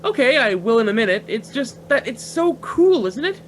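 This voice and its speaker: A man's voice: extremely high pitch, big pitch swings, normal speed. Eager young male student pilot, swooping boyish intonation, slangy, carefree, radio play, vehicle noise behind. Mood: carefree, excited. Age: young adult. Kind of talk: naturalistic radio play acting.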